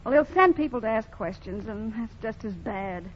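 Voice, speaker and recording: A spirited young woman fiercely guarding her baby, with a voice pitched a little high and firm emphasis. The sound is the dull band of an old radio broadcast. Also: expressive, moderate pace. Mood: worried. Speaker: actress. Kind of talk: 1940s radio acting.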